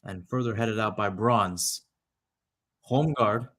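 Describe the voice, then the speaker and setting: A man's voice: fairly low pitch, takes it slowly, a bit bright. A young man giving live radio-style soccer commentary, mixing clipped calls of the play with conversational asides. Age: young adult.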